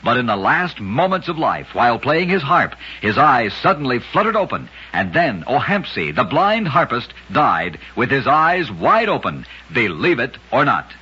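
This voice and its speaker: A man with a husky voice giving dramatic scripted narration for an old radio show. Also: medium pitch, sing-song, normal speed, few pauses, sharp tone. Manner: dramatic storytelling delivery. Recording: dull lo-fi old radio recording.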